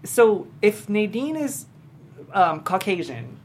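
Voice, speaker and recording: A woman's voice: medium pitch, sing-song, slow. A woman asking questions in an informal, conversational way during a casual panel interview. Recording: faint room noise.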